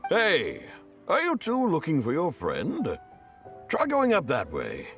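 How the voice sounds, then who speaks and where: A man's voice: fairly high pitch, huge pitch swings, slow pace, music in the background, heavily acted. Chatty townsman, wildly swooping delivery. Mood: chatty, puzzled. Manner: folksy, chatty, theatrical. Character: chatty, self-satisfied older townsman, friendly busybody. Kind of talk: English video game voice acting.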